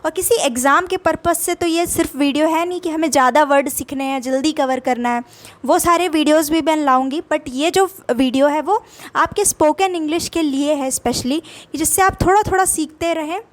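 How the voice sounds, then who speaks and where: A woman's voice: sits high, normal speed. A young Indian woman with an Indian accent teaching English vocabulary in a casual lesson, patiently explaining each word and repeating its meaning in Hindi and English.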